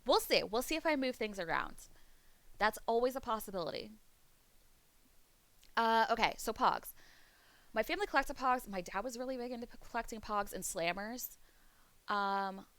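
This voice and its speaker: A woman streamer chatting casually on a live webcam stream, rambling, laughing often, and going hoarse as she loses her voice. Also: medium pitch, lively intonation, normal speed, pauses often, a bit bright, no room sound. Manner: rambling, laughs often, reads chat aloud. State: losing her voice. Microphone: desk mic on a webcam stream.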